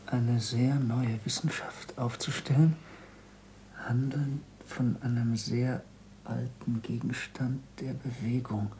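Gravelly, earnest, reproachful scientist's pupil. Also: male voice, medium pitch, darkish tone. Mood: stunned, hushed. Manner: formal, terse, intense. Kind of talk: German radio play acting. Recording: 1960s radio recording, noisy background.

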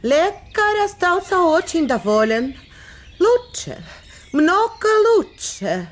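An actress playing a caring wife who puts on a brave face in a radio drama. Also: female voice, extremely high pitch, very animated, slow pace, big-room sound, full character voice. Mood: forced cheer.

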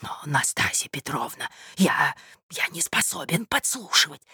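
An excitable young suitor from a storybook voiced by a female narrator. He sounds earnest and naive, with lively, expressive phrasing and a polite, flustered manner. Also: low-pitched, measured pace, husky, no echo, studio quality, full character voice. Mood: bewildered.

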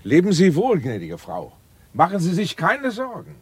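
A man playing a courteous, reassuring middle-aged gentleman and father in a German radio drama, with formal manners. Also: high-pitched, wildly swooping pitch, normal speed, very noisy.

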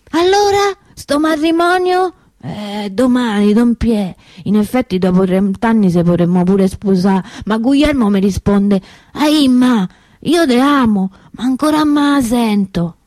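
High-voiced, garrulous Roman street vendor. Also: female voice, animated, measured pace, a little mellow, dry recording, studio quality. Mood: fond, confiding. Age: middle-aged. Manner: colloquial, garrulous, familiar. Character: chatty, good-natured Roman woman street vendor. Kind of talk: theatrical comic monologue for radio.

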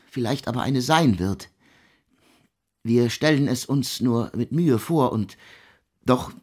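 An actor playing a proud, intellectual German composer in a radio drama, speaking in earnest, eloquent, deliberate phrases. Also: male voice, medium pitch, darkish tone, slightly rough. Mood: visionary, fervent. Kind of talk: literary radio drama dialogue.

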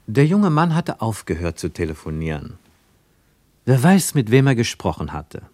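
Male radio drama narrator, lowish voice, dry close sound, richly varied intonation for literary prose. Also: normal speed, no room sound. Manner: expressive storytelling.

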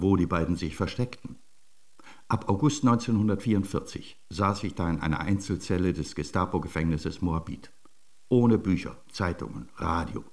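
A former political prisoner with a gravelly voice, a little away from the microphone. He recalls captivity soberly and plainly, as testimony in a radio drama. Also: deep voice, darkish tone, far from the mic.

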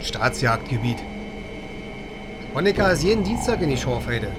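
An actor playing a chatty, cynical East German army helicopter pilot in a radio drama, speaking in short, breezy phrases. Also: male voice, medium pitch, sing-song, normal speed, very noisy, natural voice. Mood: brisk, casual.

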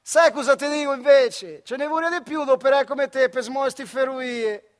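A man's voice: very high-pitched, moderate pace, voice pushed up. An actor voicing a brusque, mocking train driver in one-man stage storytelling. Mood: emphatic. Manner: bossy, mocking, dialect-flavoured. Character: brusque train driver shouting down from his locomotive.

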